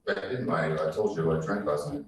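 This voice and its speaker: A man with a very low voice answers as a defendant in court, brief and defensive. He sounds far from the microphone in a heavily echoing room, with clear noise around him. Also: fast talker. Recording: very echoey, distant mic, noisy courtroom.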